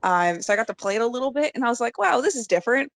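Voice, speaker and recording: A woman's voice: fairly high pitch, animated, racing, dry recording, studio quality. A woman chatting casually on a movie podcast, speaking informally and peppering her sentences with "like". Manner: informal, heavy use of "like". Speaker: podcast guest.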